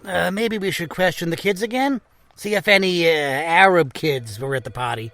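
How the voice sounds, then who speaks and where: A gruff, blunt senior police officer in an audio drama. His voice is sharp and forceful, sitting a little high for a man. He is brusque and prejudiced, recorded close and dry. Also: moderate pace, no room sound. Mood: grim, weary.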